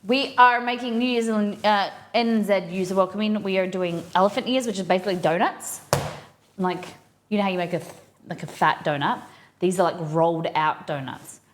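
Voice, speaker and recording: A woman's voice: medium pitch, normal speed, slight background noise. A woman with an Australian accent, hosting a casual cooking live stream and chatting informally with viewers as she thinks aloud.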